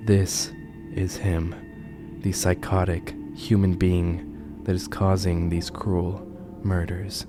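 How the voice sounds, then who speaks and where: A man narrating a scripted horror commentary video as its host, with a grave, deliberate storytelling delivery. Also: low-pitched. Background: music under the voice.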